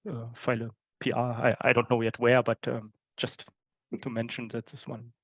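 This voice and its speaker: A man's voice: mid-range voice, no echo. A man explaining things tentatively and casually in a technical online meeting, as a working group participant. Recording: muffled, dull online call audio.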